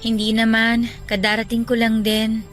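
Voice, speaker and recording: A woman's voice: on the high side, completely flat delivery, moderate pace, a bit bright, lightly acted. A woman playing an anxious, irritable pregnant young girlfriend in a Filipino radio drama, with an emotional, scolding delivery. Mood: irritated. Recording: music bed behind the voice.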